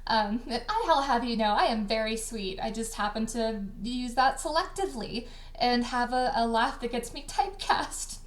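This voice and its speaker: A woman co-hosting a casual livestream chat, speaking in an informal, animated way. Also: fairly high pitch, normal speed, slight background noise. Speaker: host, audio drama creator and dialogue editor. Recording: echoey room.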